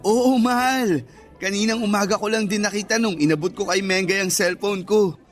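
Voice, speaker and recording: An actor playing a sweet, devoted young boyfriend in a Tagalog radio romance, earnest and affectionate. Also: male voice, high voice, moderate pace, natural voice. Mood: proud, happy. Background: faint music.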